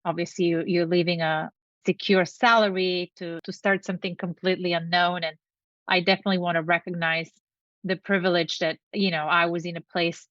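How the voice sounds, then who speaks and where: A woman's voice: on the low side, level tone, brisk, fairly bright tone, no echo, lo-fi sound. A middle-aged woman from Bulgaria, a company founder, talking earnestly and reflectively as an interview guest over a video call. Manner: earnest, reflective, speaks in long lists. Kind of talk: interview conversation.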